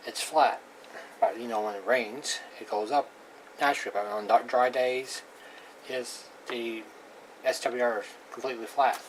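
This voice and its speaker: A man giving a casual, unscripted hands-on demonstration of radio gear, plain-spoken and halting, often repeating himself. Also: medium pitch, fairly even intonation. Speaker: hobbyist presenter demonstrating radio equipment. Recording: clearly noisy amateur recording.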